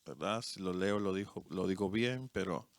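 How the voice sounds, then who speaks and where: A man with a Dominican accent, a co-pastor, preaching and praying in a church service with a slow, deliberate cadence. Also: low-pitched, dry recording. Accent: Dominican Spanish. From Dominican Republic. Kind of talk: sermon and congregational prayer.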